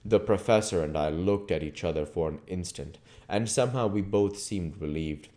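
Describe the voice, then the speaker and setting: A man reading a classic novel aloud as an amateur audiobook reader, in a measured, formal way. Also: deep voice, dark tone.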